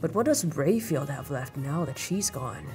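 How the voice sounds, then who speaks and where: A woman's voice: sits low, lively intonation, normal speed, music in the background. A woman playing a composed, wry syndicate operative in an audio roleplay, speaking in an understated, knowing way. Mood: probing, thoughtful. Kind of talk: dramatic audio roleplay acting.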